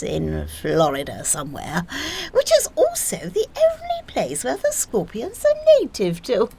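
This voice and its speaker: A woman playing an eccentric, theatrical nurse in an audio play, breezy even about grave matters. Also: mid-range voice, full character voice.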